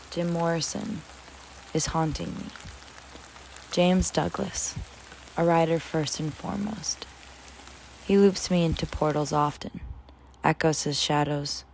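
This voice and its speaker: A woman in her twenties giving a spoken word poetry reading as a poet, with a measured, dramatic delivery. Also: sits low, creaky, a little mellow, a little hiss or hum. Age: 20s.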